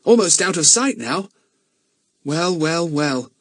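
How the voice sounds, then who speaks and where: A man's voice: on the high side, expressive, slow pace, no echo, voice pushed up. A man voicing a teenage boy who talks in a wry, matter-of-fact way.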